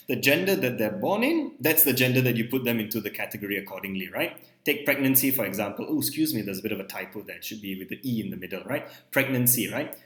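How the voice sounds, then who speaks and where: A young man from Malaysia, a native Malay speaker, explains psychology concepts at a middle pitch. He checks in with the listener after nearly every point. The room around him has a hollow echo. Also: fairly bright tone. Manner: ends points with a questioning "right?". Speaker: psychology teacher. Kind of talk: recorded lecture over slides.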